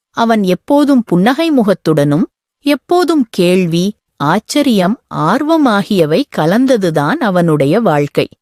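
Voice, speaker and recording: A woman narrating a children's bedtime story in a gentle, expressive storytelling manner. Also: medium pitch, no room sound, clean studio sound.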